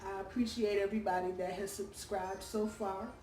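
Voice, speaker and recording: A hoarse woman giving a casual weekly tarot reading, chatty and full of ums and uhs. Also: mid-range voice, flat delivery, moderate pace. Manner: chatty, warm-hearted, lots of ums and uhs. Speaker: tarot reader. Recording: roomy, distant from the mic, faint background hum.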